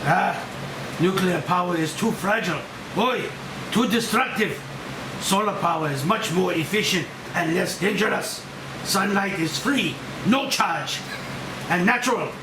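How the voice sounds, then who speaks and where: An older Japanese scientist played by a man, solemn and full of remorse. His voice sits high for a man and comes in halting, weighty phrases. It is a table read heard from off the mic in an echoey room with clear hiss. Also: far from the mic. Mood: remorseful, grave.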